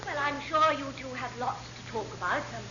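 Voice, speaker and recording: A woman playing a dutiful English naval secretary in classic radio comedy, deferential in manner and wryly deadpan. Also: high-pitched, a little fast. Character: coolly dry, dutiful female naval secretary. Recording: muffled, noisy old radio recording.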